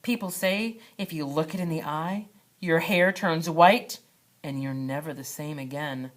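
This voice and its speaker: A woman's voice: deep voice, measured pace. A woman voicing a playful, teasing, adventurous dad with an eager, theatrical manner in a children's storybook. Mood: spooky. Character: playful, teasing adventurous dad spinning spooky monster tales. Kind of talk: children's storybook read-aloud.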